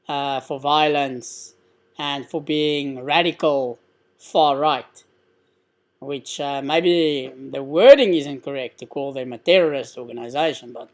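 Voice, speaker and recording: A man from South Africa with an Afrikaans accent, hosting a casual live stream Q&A and explaining things conversationally with frequent fillers. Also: fairly high pitch. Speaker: live stream host answering questions.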